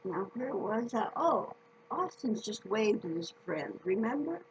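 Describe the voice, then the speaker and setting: A woman reading a children's story aloud in a folksy, deliberate manner, speaking directly to her listeners. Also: on the high side, sing-song, dull audio, a little hiss or hum.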